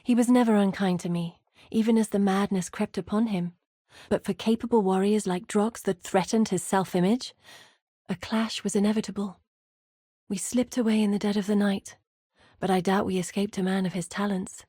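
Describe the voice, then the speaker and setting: A woman's voice: mid-range voice, fairly even intonation, moderate pace, dark tone, husky, no echo, close to an everyday voice. A weary, earnest woman explorer from a fantasy video game, played by an actress. Her voice sits in a medium register and her words are carefully articulated, with a grave storyteller's weight. The recording is very dry, with no room around her. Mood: grave, reflective. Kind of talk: scripted video game voice acting.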